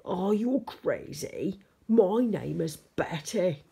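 Woman as a gruff, low-pitched lady mountain monster. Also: steady tone, slow pace, mellow, full character voice. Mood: indignant. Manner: blunt, emphatic. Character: gruff, easily offended female mountain monster. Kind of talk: children's rhyming storybook character.

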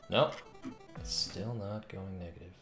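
A man with a very low voice talks himself hesitantly through coding in a casual mutter. His voice has a creaky fry, and the room sounds roomy, with noise behind him. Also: a little slow, a bit bright, faint music behind. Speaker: game developer streaming. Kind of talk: casual thinking aloud while coding. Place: indoor room at a computer. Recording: roomy with clear background noise. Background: keyboard typing and clicks.

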